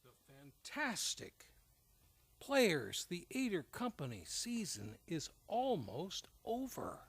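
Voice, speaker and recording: A man presenting a scripted radio promo. He takes his time, speaking in a high voice with lively, expressive swings. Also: takes it slowly, gravelly, slightly rough. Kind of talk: scripted two-person theater advertisement.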